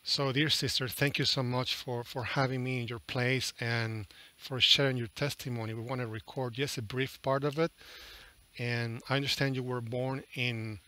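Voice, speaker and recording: A middle-aged man conducting an informal interview in an earnest, halting way. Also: mid-range voice, little pitch movement, pushed and bright. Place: outdoors under a large canopy. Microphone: headset.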